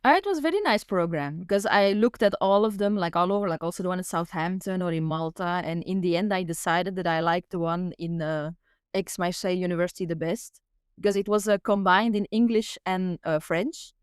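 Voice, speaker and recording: Young Flemish woman, Dutch native, smooth clean voice, chatty guest with hesitant fillers. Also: lowish voice, fairly quick, no echo, studio quality. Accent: Flemish Belgian. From Belgium (Flanders). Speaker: guest, doctoral researcher. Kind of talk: casual conversational interview.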